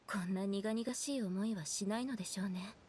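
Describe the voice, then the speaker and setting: An actress playing a solemn, gentle elder sister in a fantasy game, speaking in a measured, grave way. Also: female voice, medium pitch, slight background noise, natural voice. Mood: solemn, resigned. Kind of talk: Japanese game voice acting.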